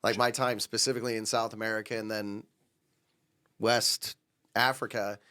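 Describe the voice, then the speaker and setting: A man's voice: lowish voice, level tone, normal speed, pushed and bright. A middle-aged man co-hosting a casual conversation, joking and teasing with plenty of swearing. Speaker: podcast co-host, Marine Corps veteran. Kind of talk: casual banter.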